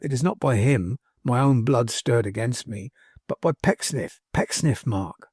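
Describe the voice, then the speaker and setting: Man reading as a proud, formal young gentleman. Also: mid-range voice, animated, unhurried, husky, no room sound, clean studio sound. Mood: proud, indignant. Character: proud, hesitant young gentleman, brooding and earnest. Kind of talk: dramatised audiobook character dialogue.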